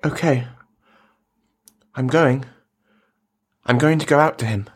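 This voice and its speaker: Breathy, stammering nervous man. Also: medium pitch. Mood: eager, obedient. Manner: heavy stammer, halting. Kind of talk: radio play acting.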